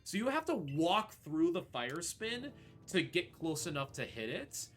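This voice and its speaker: A man's voice: a little high, big pitch swings, measured pace. A man giving casual live commentary while he plays a video game speedrun. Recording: faint music behind the voice. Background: game music.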